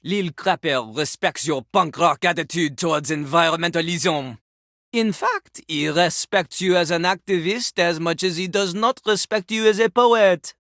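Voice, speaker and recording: A woman's voice: fairly low pitch, moderate pace, fairly bright tone, dry recording, clean studio sound. A woman voicing a pompous, boastful male French poet-rapper for a video game, with a French accent and haughty, theatrical delivery. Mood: haughty disdain. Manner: haughty, dramatic, speaks of himself in third person.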